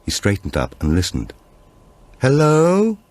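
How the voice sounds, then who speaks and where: A man reading a supernatural thriller audiobook in a measured, suspenseful storytelling manner. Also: extremely low pitch, expressive, dry recording. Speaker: audiobook narrator. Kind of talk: scripted narration of a supernatural thriller.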